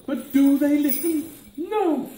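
Man playing a high-pitched fussy pantomime dame. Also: slow. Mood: exasperated. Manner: exaggerated, complaining, theatrical. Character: grumbling pantomime dame cleaning woman, fussy and gullible. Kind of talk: amateur pantomime acting. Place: village hall stage area, far camera. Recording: distant echoey village hall, background noise.

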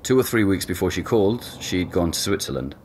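Audio drama: laid-back young man, deep, halting, wry. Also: slight background noise. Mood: casual. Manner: relaxed, joking, hesitant with fillers. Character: easygoing young man, boyfriend who owns the house.

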